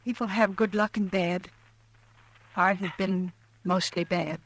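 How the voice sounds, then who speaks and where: Weary prairie wife, short flat answers, doesn't trust strangers. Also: female voice, mid-range voice, measured pace, poor audio. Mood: guarded. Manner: curt, flat, guarded replies. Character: guarded, weary homesteader wife, curt and wary. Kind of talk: 1950s radio drama acting.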